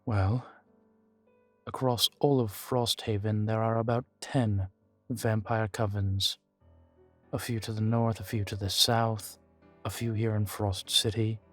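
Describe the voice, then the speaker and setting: Slow, low-key ancient vampire played by a man. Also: little pitch movement, faint music behind. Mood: grave, measured. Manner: measured, deliberate, with dramatic pauses. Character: ancient male vampire, guarded, weary, grave. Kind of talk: scripted audio roleplay addressed to the listener.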